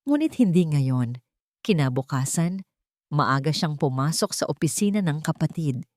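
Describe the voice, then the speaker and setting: A woman narrating a scripted romance story in a measured, dramatic storytelling manner. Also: low voice, big pitch swings, a little mellow, no echo, studio quality.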